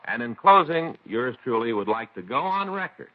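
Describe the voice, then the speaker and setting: An American actor playing a chatty, stubborn police detective's partner in a 1950s radio drama, with a folksy, insistent way of talking. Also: male voice, mid-range voice, sing-song, normal speed, natural voice. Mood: earnest, stubborn. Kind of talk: 1950s radio crime drama acting, conversational. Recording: dull old radio recording.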